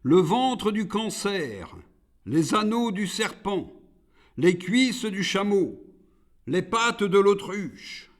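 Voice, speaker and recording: A man plays a proud, monstrous demon of a storybook poem, pitched well up from a plain reading voice. The delivery is slow and declamatory, swinging widely as it makes defiant, scornful demands. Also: expressive. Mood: demanding. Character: Iblis, proud rebellious male demon, monstrous forger.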